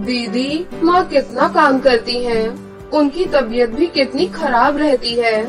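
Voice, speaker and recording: A woman's voice: high voice, measured pace, distant mic, music in the background. A woman voicing an earnest young schoolboy in a cartoon story, speaking in a pleading way. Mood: worried. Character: earnest young schoolboy, concerned little brother. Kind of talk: cartoon voice acting.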